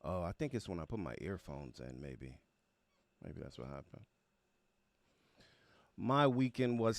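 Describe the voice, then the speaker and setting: A man's voice: lowish voice, a bit bright, no room sound. A man hosting a livestream, chatting casually and slangily as he greets viewers by name. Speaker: livestream host of music reaction show.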